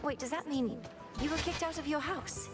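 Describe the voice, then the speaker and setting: A woman voicing an earnest young goddess princess in a game, speaking in a formal, measured way. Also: sits high, music in the background, lightly acted. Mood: surprised. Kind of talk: scripted game voice acting.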